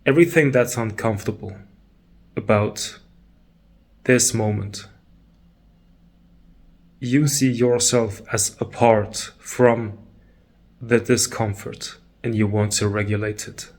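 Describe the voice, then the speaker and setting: A man leading a guided meditation talk, calm and measured, pausing between short phrases. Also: on the low side.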